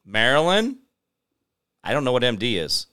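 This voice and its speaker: An American man hosting a talk show with rambling, off-the-cuff political commentary in a halting, sardonic, digressive manner. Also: medium pitch, very animated, bright, forceful tone, smooth. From United States.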